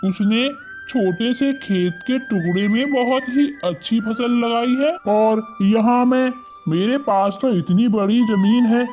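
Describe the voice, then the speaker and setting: A man playing a jealous, bitter village farmer grumbling in an animated moral story. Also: extremely high pitch, takes it slowly, hardly any pauses. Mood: envious, grumbling. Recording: muffled lo-fi audio under loud music.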